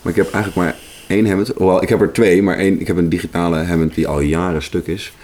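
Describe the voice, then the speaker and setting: A man's voice: low voice, steady tone, fast, a little mellow. A man, a musician, chatting casually as an interview guest.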